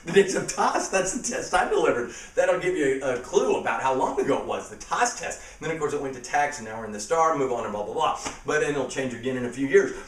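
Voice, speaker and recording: A man's voice: medium pitch, fairly bright tone, roomy sound, distant mic. A middle-aged man talking casually and emphatically about his school assembly show, repeating key phrases for effect. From Texas. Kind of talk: casual promotional talk to camera.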